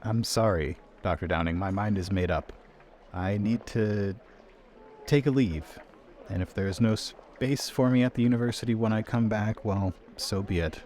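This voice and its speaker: A man playing a principled, politely stubborn young academic in tabletop roleplay, speaking in a measured way with pauses. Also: on the low side, a little mellow, natural voice. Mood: firm, earnest. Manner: measured, pausing mid-sentence.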